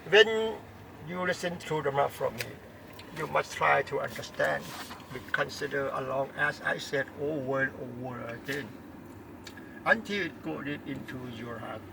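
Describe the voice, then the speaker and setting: A man from Thailand speaking English with a Thai accent, giving an earnest, deliberate Dharma talk and repeating his key points. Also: fairly high pitch, gravelly, far from the mic, background noise. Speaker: Buddhist Dharma teacher.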